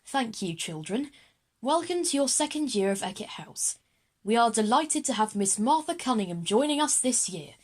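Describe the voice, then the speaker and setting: A woman's voice: on the high side, very animated, moderate pace. Woman playing a formal, welcoming school headmaster. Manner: formal, announcing.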